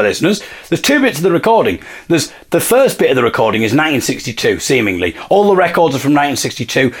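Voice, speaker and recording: Animated man with a slightly husky voice. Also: fairly high pitch. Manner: musing, self-questioning, repeats points for emphasis. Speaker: host of an investigative series. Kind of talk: informal spoken investigation, thinking aloud to listeners.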